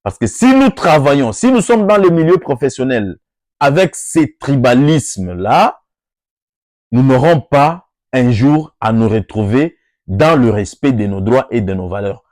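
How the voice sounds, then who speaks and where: A man's voice: medium pitch, expressive, a little slow, a little mellow, no room sound, clean studio sound. A Congolese man with a Congolese French accent, addressing workers on behalf of an NGO in an oratorical style with emphatic rising peaks. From Democratic Republic of the Congo. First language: Lingala or Swahili. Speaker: NGO speaker.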